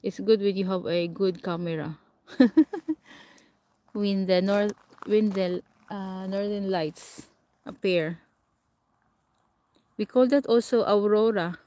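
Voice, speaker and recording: A woman's voice: medium pitch, takes it slowly, pauses often, warm tone. A woman from the Philippines with a Filipino accent, hosting a casual livestream and chatting with her viewers, answering their comments and laughing often. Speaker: livestream host. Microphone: camera or phone mic set a short way off.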